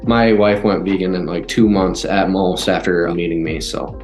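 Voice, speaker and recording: A man's voice: sits low, moderate pace, darkish tone, roomy sound, soft background music. A man speaking as an interview guest in a casual, rambling way, full of "like" fillers. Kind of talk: casual conversation.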